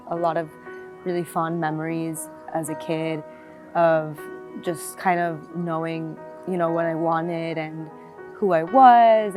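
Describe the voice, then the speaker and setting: A woman in her twenties from South Florida, reflectively telling her life story in an interview, with many fillers and restarts. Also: deep voice. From South Florida, United States. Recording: music playing behind the voice.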